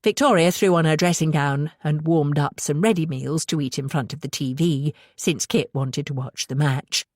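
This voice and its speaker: Low-voiced British woman narrating fiction. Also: moderate pace, few pauses, a little mellow, no room sound, clean studio sound. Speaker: audiobook narrator.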